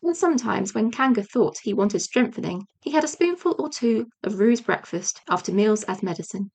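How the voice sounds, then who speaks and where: A woman's voice: mid-range voice, lively intonation, moderate pace, a little mellow, slightly rough. A woman reading a children's storybook aloud as audiobook narration. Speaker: audiobook reader.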